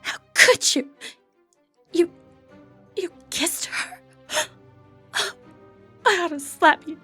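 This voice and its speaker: Woman performing a confident noble-born lady thief, fantasy ASMR roleplay, highish voice, playful, genteel, flirtatious, music underneath. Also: fairly bright tone, heavily acted. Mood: feigned outrage. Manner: playful, teasing, genteel old-fashioned phrasing.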